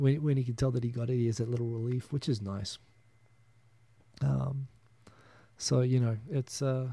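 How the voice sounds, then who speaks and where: A man's voice: mid-range voice, vocal fry, warm tone, dry recording. A man in his thirties with a New Zealand accent, hosting a casual solo live stream, rambling and chuckling as he reads viewer comments. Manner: rambling, chuckling, frequent restarts.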